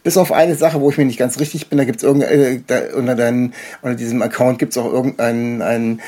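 A man hosting a casual interview, speaking in a hesitant, halting way as he puts his questions. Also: mid-range voice, level tone, warm tone. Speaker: podcast host, interviewer.

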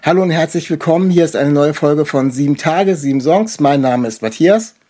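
A man's voice: on the high side, a little fast, warm tone. A man hosting a podcast in a casual interview style, feeling his way through his phrasing. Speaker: podcast host.

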